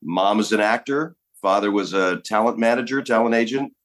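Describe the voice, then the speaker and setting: Relaxed middle-aged man telling stories. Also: lowish voice, moderate pace. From Los Angeles. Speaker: guest actor. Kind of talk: casual interview chat.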